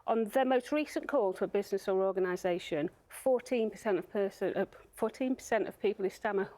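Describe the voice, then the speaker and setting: A woman's voice: mid-range voice, fairly quick, a little mellow. A woman speaking as a charity spokesperson, explaining things in a broadcast interview. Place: TV studio.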